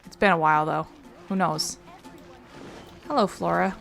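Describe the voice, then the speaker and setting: A woman's voice: on the low side, normal speed, vocal fry, fairly bright tone, soft background music. A woman hosting a let's-play, chatting casually and talking back to the game's characters. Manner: chatty, talks to game characters. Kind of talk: casual game commentary.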